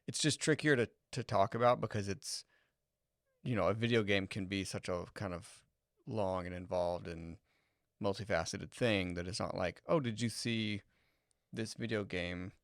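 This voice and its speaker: Deep, smooth man with gravelly fry. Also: moderate pace, dry recording, studio quality. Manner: relaxed, thoughtful, hedging. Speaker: podcast co-host. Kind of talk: casual chat.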